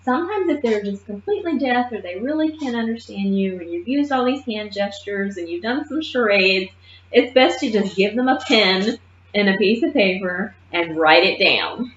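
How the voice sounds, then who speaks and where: A woman's voice: on the high side, a little hiss or hum. Woman lecturing in a roomy classroom. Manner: lively and emphatic, often checks in with "okay?". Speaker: nursing assistant instructor. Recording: muffled roomy classroom recording.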